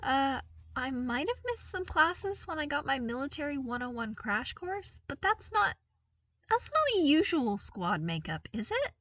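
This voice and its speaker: A woman voicing a shy, bewildered young man in a story, halting and flustered. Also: sits high, sing-song, fairly bright tone, dry recording, voice pushed up, exaggerated voice.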